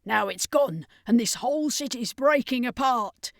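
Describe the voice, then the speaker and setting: A woman's voice: on the high side, lively intonation, a little slow, creaky, no echo, studio quality, pitched above the performer's own voice. A woman voicing an angry, brusque female circus performer in an adventure story, explaining things urgently and emphatically.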